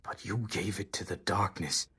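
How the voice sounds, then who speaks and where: Gothic audio drama hunter, played by a man: grim and grieving, low-voiced, very husky, solemn delivery. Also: steady tone, a bit bright, heavily acted. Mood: sorrowful. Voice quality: husky, breathy. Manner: slow, solemn, deliberate.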